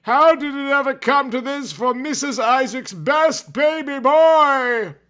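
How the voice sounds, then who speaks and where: A man's voice: very high-pitched, takes it slowly, fairly bright tone, lo-fi sound, voice pushed up, exaggerated voice. A man voicing a mournful, self-pitying grown man who pleads melodramatically to his mother in an audio story. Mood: sad, pleading. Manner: plaintive, melodramatic.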